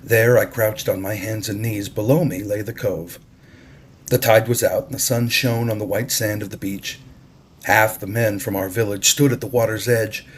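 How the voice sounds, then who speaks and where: A man's voice: on the low side, steady tone, moderate pace, a little hiss or hum. A man reading a story aloud as an audiobook-style narrator. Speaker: audiobook reader.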